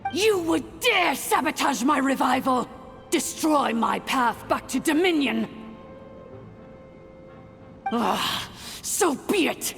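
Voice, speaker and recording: A woman's voice: high-pitched, lively intonation, slow pace, gravelly, pauses often, a bit bright, long reverb tail, faint music behind, exaggerated voice. A woman voicing an imperious, vengeful ancient machine sovereign in a video game, declaiming in a grand, commanding, theatrical way. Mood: wrathful. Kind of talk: video game villain acting.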